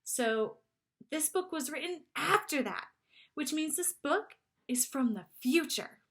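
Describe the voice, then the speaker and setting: A woman's voice: high voice, lively intonation, slow pace, voice pushed up, heavily acted. A woman voicing a cautious, bookish young boy in a storybook, earnest and emphatic. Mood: reasoning, amazed.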